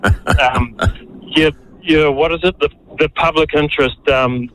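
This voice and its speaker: A man calling a talkback show, halting, with many fillers and restarts. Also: on the high side, fairly even intonation, fairly bright tone, slight background noise, poor audio. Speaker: caller. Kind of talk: talkback phone call.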